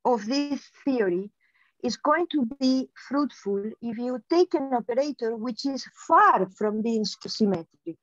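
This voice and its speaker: A woman's voice: fairly high pitch, expressive, unhurried, dry recording. A middle-aged woman on a video call, giving an explanatory academic answer and often restarting her phrases. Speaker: keynote speaker, mathematician. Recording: dull, lo-fi video call audio.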